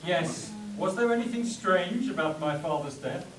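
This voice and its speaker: A man's voice: high voice, animated, moderate pace, music in the background. A man playing a stoic, heroic adventurer in a live spoof radio play. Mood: stoic, questioning. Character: Doc Savage, stoic heroic adventurer, grieving son. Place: convention hall before a live audience. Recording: echoey, distant mic, noisy room.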